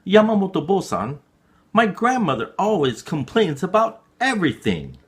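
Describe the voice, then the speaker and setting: Man playing an earnest, high-pitched pleading child. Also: wildly swooping pitch, normal speed. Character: pleading, earnest child narrator with a noisy grandmother.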